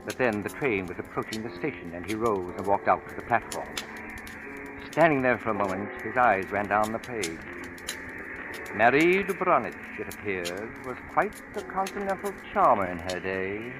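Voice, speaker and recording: Over strong background music on a vintage radio recording comes a low-pitched man as a brooding storyteller. His phrasing is deliberate and theatrical, steeped in suspense. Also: big pitch swings, low-quality recording. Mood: suspenseful, brooding. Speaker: narrator of a radio horror drama. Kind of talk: dramatic old-time radio narration. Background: dramatic music.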